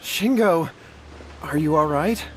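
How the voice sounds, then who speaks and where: A man's voice: on the high side, slow pace, slight background noise, poor audio. An actor voicing an anxious, deferential young man in a video game, with an earnest manner. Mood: worried.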